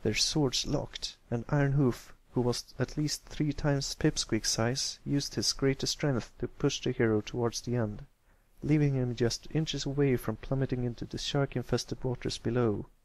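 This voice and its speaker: A man's voice: medium pitch, fairly even intonation, normal speed, darkish tone. A man reading a story aloud as an amateur narrator, in a scripted storybook style. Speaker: amateur fan fiction reader.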